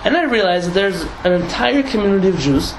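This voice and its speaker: A young man from San Diego, a rabbi talking casually to a group, lively and laughing with many restarts and asides. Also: high-pitched, fast talker. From San Diego, United States. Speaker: rabbi teaching a class. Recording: echoey room, audible noise.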